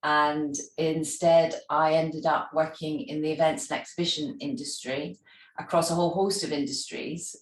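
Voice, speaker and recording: A middle-aged or older woman from Scotland with a soft Scottish accent, giving a polite, reflective personal account as an interview guest. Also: sits low. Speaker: interview guest, travel industry executive. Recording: roomy, distant microphone.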